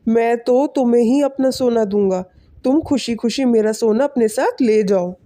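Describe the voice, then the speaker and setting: A woman voicing a kindly, lonely older village man in an animated moral story, earnest and fatherly. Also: a little high, moderate pace, close to an everyday voice. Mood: fatherly, grateful.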